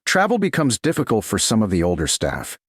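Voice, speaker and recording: A male narrator gives voice to a deferential castle steward from a storybook. He is formal and dutiful, low-pitched with expressive inflection, recorded dry. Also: a little fast, slightly rough, no echo, exaggerated voice.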